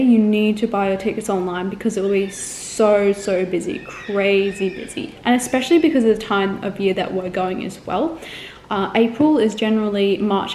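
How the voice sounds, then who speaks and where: A woman's voice: medium pitch, darkish tone. A young Australian woman vlogging casually to camera, rambling with frequent ums and self-corrections. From Australia, Melbourne. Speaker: travel vlogger. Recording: echoey room with faint ambient noise.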